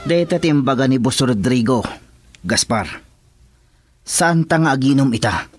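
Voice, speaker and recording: A man's voice: medium pitch, lively intonation, measured pace, faint music behind, close to an everyday voice. A man with an Ilocano Filipino accent playing a cocky, goading gang henchman in a radio drama.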